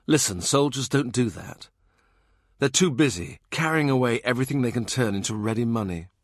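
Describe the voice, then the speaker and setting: A man's voice: medium pitch, moderate pace, no echo, natural voice. Man doing a blunt, dry, practical man. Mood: dry scorn. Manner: flat, blunt, matter-of-fact.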